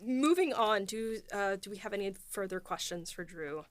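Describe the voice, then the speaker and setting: A woman's voice: medium pitch, animated, moderate pace. Chatty woman hosting a podcast. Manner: chatty, laughs easily, asks loose rambling questions. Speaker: host asking the writers questions. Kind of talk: casual podcast chat.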